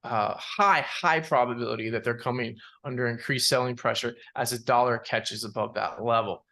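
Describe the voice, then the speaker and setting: Halting man talking through market analysis. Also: medium pitch, steady tone, vocal fry, sharp tone. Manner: halting, repeats words, many you-knows. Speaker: market analyst presenting charts. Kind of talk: unscripted financial chart commentary.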